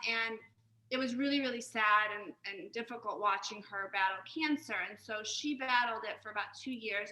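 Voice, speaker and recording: Bright-voiced woman telling her story. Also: a little high, reverberant, low-quality recording. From Uzbekistan, raised in Chicago. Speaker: guest, business co-founder. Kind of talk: interview storytelling.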